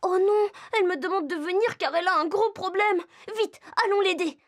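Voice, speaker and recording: A woman's voice: very high-pitched, brisk. A woman voicing a curious, enthusiastic little girl who is the heroine of a children's cartoon. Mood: cheerful, curious. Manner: bright-eyed, inquisitive, enthusiastic. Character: curious, cheerful little girl who travels to animal friends. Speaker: main character and narrator.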